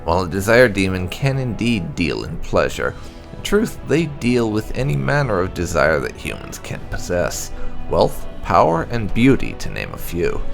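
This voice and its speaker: A man reading game lore aloud as scripted narration, in a measured, dramatic storytelling way. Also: low voice, creaky. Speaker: gaming video host. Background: background music.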